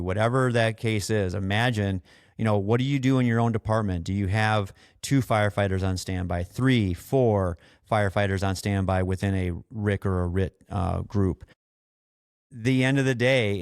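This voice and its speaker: Low-voiced man, earnest podcast explainer. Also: smooth, no room sound, studio quality. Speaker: podcast host and experienced firefighter. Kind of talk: conversational podcast commentary.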